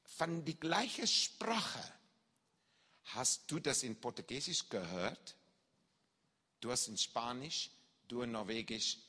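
A man preaching in a big reverberant hall, telling a personal story in slow, deliberate German with slips of a non-native speaker. His voice is bright and a bit husky, his pitch sits slightly high, and his intonation swings widely as he acts out the scenes. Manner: animated, acts out shouts and reactions. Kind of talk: sermon testimony, storytelling.

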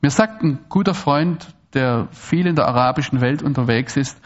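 A man from Swabia, giving an animated, digressive talk to an audience with frequent restarts and asides. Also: mid-range voice, moderate pace, dull audio. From Swabia, Germany. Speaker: speaker giving a public talk. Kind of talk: lecture to an audience.

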